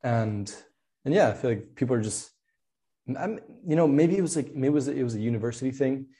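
A man's voice: medium pitch, expressive, fairly quick, dark tone. A man in his twenties from Spain, speaking as a podcast guest, talking casually with many fillers, restarts and laughs. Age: 20s. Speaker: podcast guest, product designer. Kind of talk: casual interview chat.

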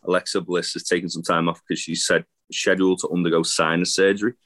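A man hosting a wrestling news podcast, losing his voice to a cold, talking in a halting way with many fillers. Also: sits low, little pitch movement, a bit bright. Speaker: podcast host. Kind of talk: casual chat, reading news.

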